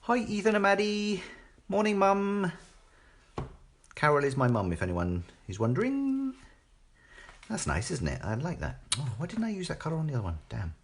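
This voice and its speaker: British male craft host, casual live demo, animated intonation, some background noise. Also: fairly high pitch, normal speed. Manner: chatty, thinking aloud, tag questions.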